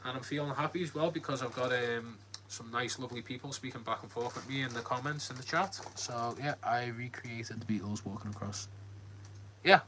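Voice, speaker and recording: A man's voice: mid-range voice, pushed and bright, a little hiss or hum, rough recording. Rambling Scouse man chatting live. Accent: Liverpool (Scouse). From Liverpool, England. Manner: rambling, many ums and likes, friendly. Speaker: livestream host. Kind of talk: casual live Q&A chat reading comments. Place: home, lit by a ring light.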